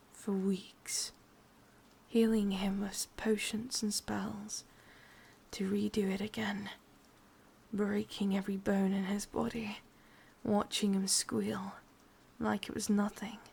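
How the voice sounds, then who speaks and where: Woman acting a breathy, whispering English witch. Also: medium pitch, exaggerated voice. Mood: cruel, bitter. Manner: slow, hushed, deliberate. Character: sadistic, brooding female witch, bitter and obsessive. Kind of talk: whispered ASMR roleplay.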